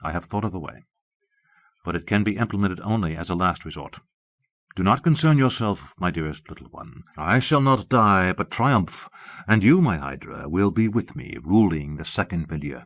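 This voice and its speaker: A man's voice: low-pitched, animated, normal speed, no room sound. A man voicing a scheming, possessive disembodied evil mastermind in an audiobook, commanding, grandiose and darkly doting. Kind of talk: audiobook character voice. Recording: muffled old cassette audiobook.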